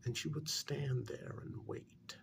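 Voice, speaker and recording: A man playing a calm, probing psychiatrist in an ASMR role-play, speaking softly and breathily with measured pauses. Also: mid-range voice. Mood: confiding, measured. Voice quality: breathy, half-whispered soft speech. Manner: slow, deliberate, measured with pauses. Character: calm, probing male psychiatrist counseling a supernatural couple.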